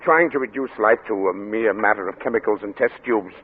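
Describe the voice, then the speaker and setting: An actor playing a troubled, moralizing middle-aged doctor who speaks earnestly and hesitantly, in old radio drama style. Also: male voice, fairly low pitch, fairly quick, slightly rough, narrow, tinny audio. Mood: troubled, reproachful. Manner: hesitant, repeating words, earnest. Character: troubled, moralizing male family doctor, weary and anxious. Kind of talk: 1940s radio drama acting. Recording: thin, noisy old radio recording.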